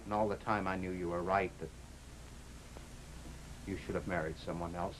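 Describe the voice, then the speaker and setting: An actor playing a troubled, guilt-ridden gambling husband in an old radio drama, earnest and halting in a melodramatic style. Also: male voice, on the low side, close to an everyday voice. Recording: slightly noisy old radio recording.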